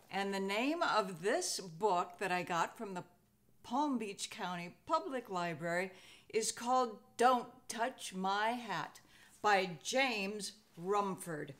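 An older American woman in her sixties reads a children's picture book aloud with expressive, folksy Western storytelling. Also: mid-range voice. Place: indoor room at home.

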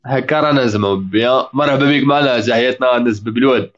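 A man, hosting a casual live call-in stream and guiding callers on their mics. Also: mid-range voice. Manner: fast, coaching callers on their mic. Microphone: video call. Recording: dull lo-fi online call audio.

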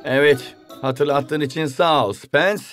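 An earnest young cartoon athlete who is easily troubled. His voice is high and unhurried, swinging up and down over clear music. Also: fairly even intonation, fairly bright tone. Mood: uneasy. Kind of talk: animated cartoon dub.

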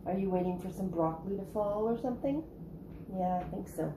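A woman's voice: medium pitch, brisk, noisy background. A woman chatting and teasing from behind the camera in a home kitchen, laughing often. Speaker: camera operator and co-host. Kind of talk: casual chat from behind the camera.

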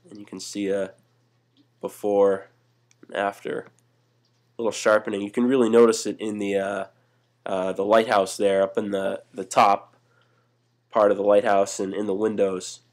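Young guy, low voice, easygoing tutorial host, lots of fillers. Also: normal speed, pauses often. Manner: relaxed, many "uh" fillers and restarts. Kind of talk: casual screen-recorded software walkthrough.

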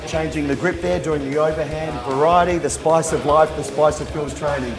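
A man's voice: fairly high pitch, steady tone, moderate pace. A man in his thirties with an Australian accent, hosting an instructional fitness interview in a gym. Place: busy indoor gym. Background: music.

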